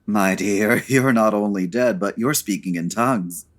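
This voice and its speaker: A man playing a suave, wry gentleman sleuth in an old-time radio comedy, urbane and dryly sarcastic. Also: fairly low pitch, measured pace, lightly acted. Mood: amused, urbane.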